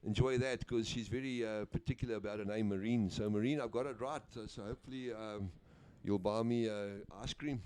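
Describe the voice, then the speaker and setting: Guy doing sports commentary, laid back, lots of uhs, mellow voice. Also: lowish voice, fairly even intonation, brisk, hardly any pauses, clear, resonant tone. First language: Afrikaans. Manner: easygoing, chatty, with frequent uhs and name slips. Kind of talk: live play-by-play commentary.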